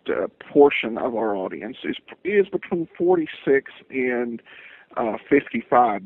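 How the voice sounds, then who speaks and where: A man answering interview questions as a podcast guest, speaking in a halting, hesitant, stop-start way over a lo-fi line. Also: medium pitch, breathy edge. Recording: tinny lo-fi phone-like line.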